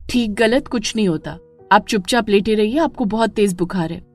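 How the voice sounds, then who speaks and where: A woman's voice: mid-range voice, normal speed, a little mellow, faint music behind, natural voice. A woman voicing a sly, attentive young woman who plays the rival in a melodramatic story. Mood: gentle, knowing. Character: caring, sly young woman friend Mukta, coolly stealing a husband. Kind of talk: melodramatic Hindi moral story acting.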